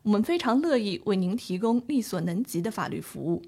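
Mid-pitched woman announcer. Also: sing-song, fairly quick, warm tone, breathy edge. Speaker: program announcer. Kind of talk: scripted outro announcement.

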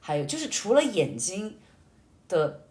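A woman sharing experiences in casual conversation, explaining things in a reflective, expressive way. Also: mid-range voice, measured pace. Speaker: podcast guest, amateur acting student.

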